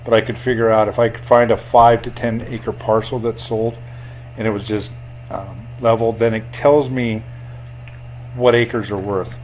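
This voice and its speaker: A man walking a viewer through comparing property sales on screen, in an plain, unhurried way with fillers and a voice he calls boring. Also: on the low side, fairly even intonation, a little mellow, a little hiss or hum, poor audio. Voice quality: self-described boring voice. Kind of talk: screen walkthrough tutorial.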